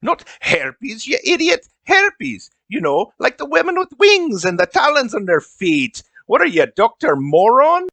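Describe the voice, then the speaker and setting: A vain dramatic elf mage w/ foreign accent, highish voice that swoops all over, comedy. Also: male voice, huge pitch swings, takes it slowly, pushed and bright, no echo, heavily acted. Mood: indignant. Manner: haughty, dramatic. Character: vain, theatrical, indignant High Elf attack mage.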